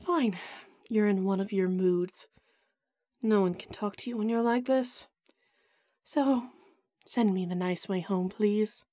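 A woman's voice: medium pitch, takes it slowly, long pauses, warm tone, no room sound, studio quality. A woman playing a vain, haughty goddess in an audio roleplay drama, melodramatic and put-upon with a whiny edge. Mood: resigned.

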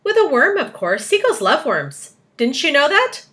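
A woman's voice: medium pitch, big pitch swings, a little fast, bright, forceful tone. A woman voicing a clever, confident young boy in a storybook, earnest and eager as he explains. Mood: matter-of-fact.

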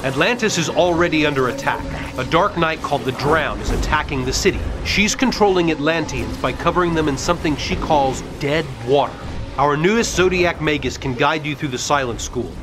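Game hero king male actor: commanding, earnest, steady, fairly high voice over music. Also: a little fast, close to an everyday voice. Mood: urgent, commanding. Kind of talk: video game hero acting.

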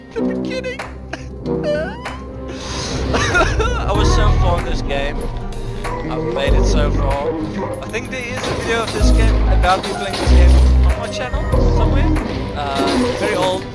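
A young South African man giving casual, self-deprecating, rambling commentary while playing a video game, with loud music over his voice. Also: sits high. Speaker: gaming video host. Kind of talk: casual unedited gameplay commentary.

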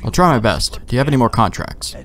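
A man's voice: medium pitch, sing-song, fast talker, crowd noise. A man doing casual let's play commentary, thinking aloud informally and swearing here and there. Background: video game ambience.

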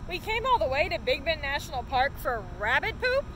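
A woman's voice: high voice, big pitch swings, a little fast. A young woman voicing a curious, impatient young girl from a children's storybook. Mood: whiny. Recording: outdoor noise of distant traffic.

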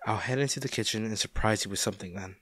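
A man's voice: on the low side, fairly bright tone, no echo. A man acting a caring, worried boyfriend in an intimate audio roleplay, speaking tenderly and coaxingly to his injured partner. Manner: tender, coaxing, hesitant, speaking directly to the listener.

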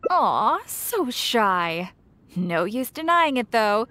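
A woman's voice: on the high side, slow pace, dry recording, heavily acted. An actress voicing a cheerful, teasing, chatty shopkeeper woman in a video game. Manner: playful, teasing, expressive. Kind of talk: English video game voice acting.

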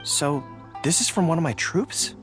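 A man's voice: fairly high pitch, animated, over music, close to an everyday voice. A young man voicing an earnest, formal young dog-eared general in a video game. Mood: thoughtful. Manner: earnest, deliberate, formal phrasing. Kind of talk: video game character dialogue.

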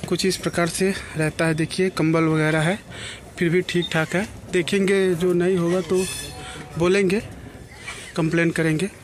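A man's voice: high-pitched, flat delivery, normal speed. A young man filming a casual travel vlog on a phone at arm's length, chatting to his viewers like friends from a train and station platforms. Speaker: travel vlogger. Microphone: phone held at arm's length. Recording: loud train noise behind.

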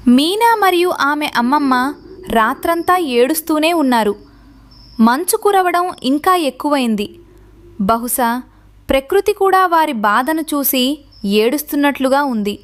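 A woman's voice: high voice, expressive, unhurried. A woman reading scripted narration for a children's story as the narrator.

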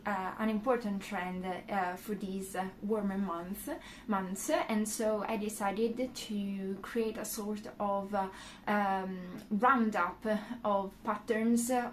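A young woman with an Italian accent talks to the camera in a small room, slowly and unhurriedly, filling pauses with "uh". Her voice sits at a middle pitch, and a faint hiss of room noise lies under it. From Italy. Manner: unhurried, many "uh" fillers, gestures with hands. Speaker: knitting YouTuber. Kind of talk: casual talk to camera.

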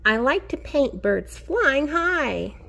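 A woman teacher reading a picture book aloud to young children in an expressive sing-song way, encouraging them and asking them questions. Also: high-pitched, gravelly. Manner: expressive, sing-song, drawn-out key words; encouraging, addresses children directly.

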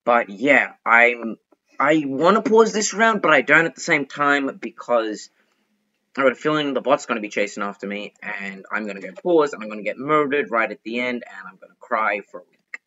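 A man's voice: medium pitch, lively intonation, moderate pace, bright, forceful tone, lo-fi sound. A young man giving casual, chatty commentary while playing an online game, fretting and pleading aloud as he plays. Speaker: gaming YouTuber.

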